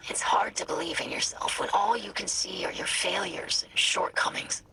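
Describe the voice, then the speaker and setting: A woman's voice: medium pitch, fairly even intonation, normal speed, vocal fry, pushed and bright, whispered, low-quality recording. A woman playing an earnest, anxious young alien scientist in a video game, speaking hesitantly and formally. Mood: self-doubting. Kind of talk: video game dialogue acting.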